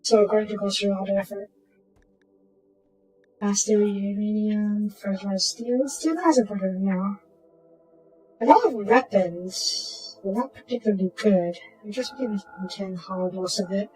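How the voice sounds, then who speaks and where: A woman with a slightly hoarse voice, casually talking through a strategy game and muttering to herself as she plays. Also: medium pitch, unhurried, faint music behind, low-quality recording. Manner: muttering to herself while playing, trailing off into quiet asides. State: hoarse from a sore throat. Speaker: gaming channel host. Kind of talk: casual gameplay commentary.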